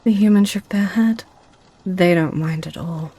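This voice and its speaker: Woman playing a slow, mellow, seductive succubus. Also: on the low side, husky. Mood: teasing, sly. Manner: teasing, sly, unhurried. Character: seductive, possessive, playful succubus. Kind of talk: ASMR roleplay audio drama.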